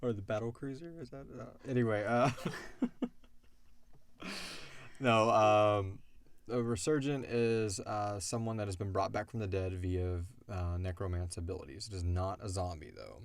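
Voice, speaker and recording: A man's voice: fairly low pitch, unhurried, dry recording. A man co-hosting a podcast, answering fan questions in a casual, rambling and joking way about the story he created. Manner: rambling, joking explanations with acted-out quotes.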